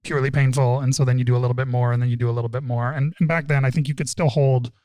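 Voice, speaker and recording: A man from suburban Toronto speaking as a podcast guest, relaxed and wry, with many restarts. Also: medium pitch, rapid-fire, no echo, clean studio sound. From Toronto suburbs, Canada. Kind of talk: casual interview talk.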